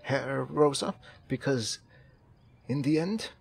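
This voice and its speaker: Man acting a slow, hesitant, eccentric amateur therapist. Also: medium pitch, creaky, breathy edge, exaggerated voice. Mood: earnest, determined. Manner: halting, awkward, repeats himself emphatically. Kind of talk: improvised roleplay in a zombie survival game.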